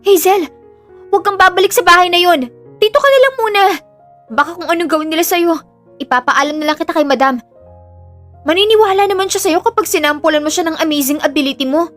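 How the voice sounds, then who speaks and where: Woman acting an excitable high-pitched Filipino best friend. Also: fast talker. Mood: alarmed, protective. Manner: exclamatory, expressive, protective, slangy Taglish. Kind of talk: audio drama dialogue. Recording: music under the voice.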